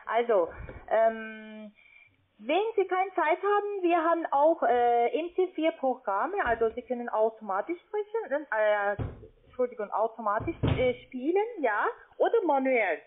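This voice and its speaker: Female telesales agent, non-native with foreign accent, highish voice, halting phrasing, narrow telephone sound. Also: expressive, a little hiss or hum. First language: not German. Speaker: call-centre sales agent. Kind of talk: phone sales pitch. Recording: thin, band-limited phone call.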